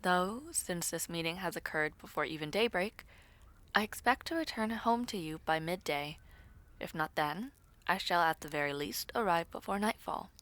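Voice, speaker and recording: A woman voicing a devoted noble lord in an audio roleplay, measured, formal and tender, as if reading a love letter. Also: on the low side, lively intonation, fairly bright tone, pitched below the performer's own voice. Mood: tender, composed. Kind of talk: scripted audio roleplay acting.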